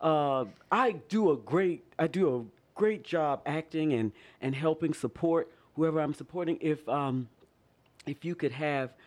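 A man's voice: fairly high pitch, lightly acted. A man playing a gushing, overexcited actor. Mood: earnest. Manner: gushing, stammering, talks in quick bursts. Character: eager overexcited male actor. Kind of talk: improv comedy scene.

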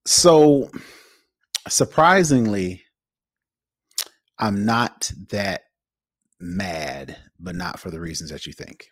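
A man co-hosting a podcast, giving his opinions casually in an emphatic, halting way. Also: fairly low pitch, sing-song, vocal fry, no echo. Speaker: podcast co-host.

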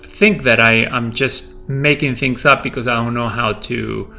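A middle-aged man with a light Peruvian Spanish accent talks through his thoughts slowly, stopping often and restarting his sentences. His voice is gravelly and bright with some edge. Soft music plays faintly underneath. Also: mid-range voice, steady tone. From Peru. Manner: hesitant, many fillers and restarts. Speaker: podcast host. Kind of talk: personal reflective monologue.

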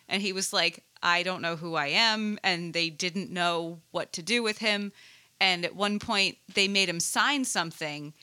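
A woman's voice: medium pitch, bright, forceful tone. A woman in casual group conversation, with a wry, dry-humoured way of talking. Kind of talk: casual chat.

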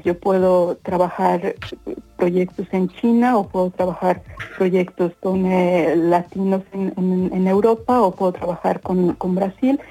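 A woman in her fifties with a Mexican accent, giving conversational interview answers, often pausing and repeating words as she searches for phrasing. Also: on the low side, mellow, poor audio. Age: 50s. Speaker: interview guest, corporate professional.

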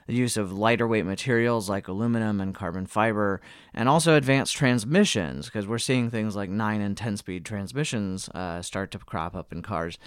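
Dry, clear-voiced American podcast man. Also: lowish voice, no room sound. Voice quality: slightly wheezy. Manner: wry, tongue-in-cheek. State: fighting a cold. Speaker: podcast host. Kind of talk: scripted news rundown with casual asides.

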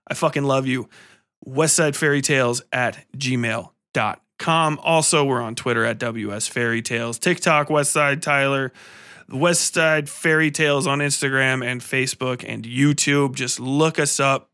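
A man with a bright, forceful voice at a medium pitch hosts a podcast. He talks in a loose, informal style with plenty of energy, as in a casual chat with listeners and guests. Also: moderate pace, clear, resonant tone. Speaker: podcast host and interviewer.

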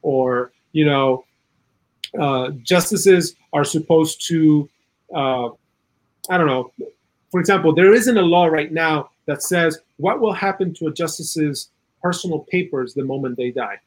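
A man from South America giving earnest, opinionated political commentary in a conversational way, with a husky voice and plenty of "you know". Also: fairly high pitch, unhurried. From South America, Chile. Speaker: interview guest, political and legal commentator.

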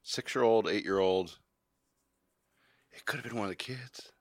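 Deep gravelly podcast host. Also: male voice, moderate pace, no room sound. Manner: rambling, jokey, self-promoting. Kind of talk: casual podcast banter.

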